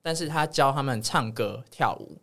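Taiwanese guy podcast host, really animated pitch, blunt and teasing, casual. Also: normal speed. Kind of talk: casual banter.

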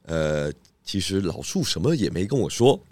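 A calm pig detective. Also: male voice, low-pitched, normal speed, no room sound, heavily acted. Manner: calm, confident explaining. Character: calm clever pig detective, male adult. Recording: music bed under voice.

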